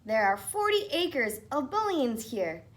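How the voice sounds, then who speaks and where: A woman's voice: sits high, very animated, a little slow, pitched above the performer's own voice. Vrouw speelt brutale, zelfverzekerde jonge man. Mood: determined. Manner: confident, bold. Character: cocky, clever young man hunting leprechaun gold.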